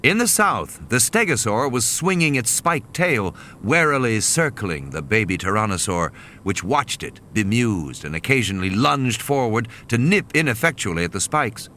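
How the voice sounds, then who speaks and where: A man's voice: mid-range voice, very animated, normal speed, bright, forceful tone, slight background noise. A man reading scripted audiobook narration as the narrator of a dramatic story. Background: background music.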